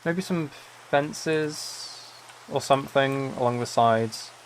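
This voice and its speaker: A soft-spoken man livestreaming, chatting in a relaxed way and musing aloud as he plays a game. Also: mid-range voice, slow, slight background noise. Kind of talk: relaxed chat while playing a building game.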